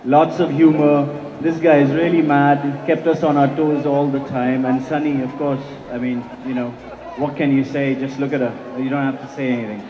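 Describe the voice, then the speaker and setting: A man's voice: mid-range voice, flat delivery, moderate pace. A man with an Indian accent, a native Hindi speaker, giving emphatic promotional stage talk with repeated words and some Hindi mixed in. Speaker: film director. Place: large hall at a promotional event. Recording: muffled PA in echoey hall.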